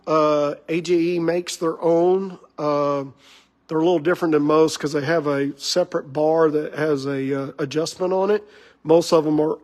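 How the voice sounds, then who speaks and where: A hoarse man with a fairly high voice chats his way through a car build in a workshop. He rambles with plenty of fillers and gets excited about the parts. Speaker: shop owner showing a car build. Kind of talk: casual shop walkaround talk.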